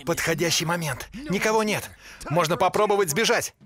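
A man's voice: sits high, brisk, fairly bright tone. A man voicing a rebellious teen devil boy in a dubbed cartoon, talking to himself with determination. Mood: determined.

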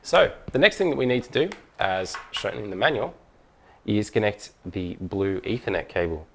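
A man's voice: lowish voice, expressive, moderate pace, slight background noise. A man presenting a hands-on product tutorial in an informal, methodical, step-by-step way.

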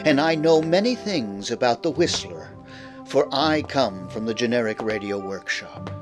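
A man's voice: medium pitch, expressive, normal speed, music in the background. A man delivering a playful parody of an old radio mystery introduction, asking the listener teasing questions. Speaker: host of a radio workshop promo.

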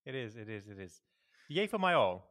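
Mid-pitched, agreeable male podcaster. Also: big pitch swings, quick pace, a bit bright. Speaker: podcast co-host. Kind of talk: casual chat. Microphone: podcast microphone, video call.

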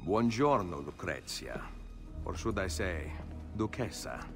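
Deep, measured Italian assassin. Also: male voice, big pitch swings, faint music behind, heavily acted. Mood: calm, firm. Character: hooded male assassin, calm, courteous and resolute. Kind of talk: video game cutscene acting.

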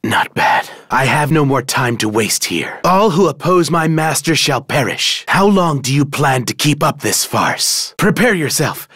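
Scornful warrior god, very slow delivery. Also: male voice, on the high side, animated, fairly bright tone, husky, no room sound, studio quality, exaggerated voice. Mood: cold, scornful. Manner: formal, theatrical, contemptuous. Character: young male god of justice, loyal, scornful, stately warrior. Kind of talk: English video game battle lines.

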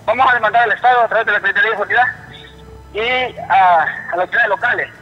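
A man with a Honduran accent calling a live news programme by phone, speaking in an indignant, halting way and repeating himself. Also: high-pitched, soft background music. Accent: Honduran Spanish. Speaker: phone-in caller, relative of a patient. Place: telephone call to a TV studio. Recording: muffled, reverberant phone line.

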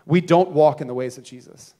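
A man in his thirties preaching a sermon on a church stage into a handheld mic, earnest, rising to emphasis and dropping to quiet, slow stresses. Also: a little high. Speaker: pastor.

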